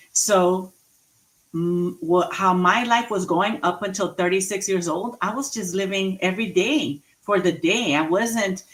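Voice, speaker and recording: Slow, emphatic woman motivational speaker. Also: on the low side, fairly bright tone. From Mexican heritage, raised in the US. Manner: slow, emphatic and repetitive, with laughs at herself. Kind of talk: inspirational speech.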